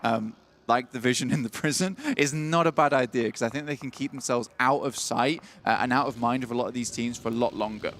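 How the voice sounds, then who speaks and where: A man doing color commentary on a live esports match, relaxed and self-deprecating, mixing analysis with jokes. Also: medium pitch, fairly quick. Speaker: esports co-caster.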